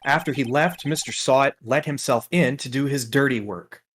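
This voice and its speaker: A woman's voice: a bass voice, normal speed, slight background noise. A woman voicing a nervous, earnest young male rookie defense lawyer in a video game. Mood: sober, narrating. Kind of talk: video game dub with inner narration.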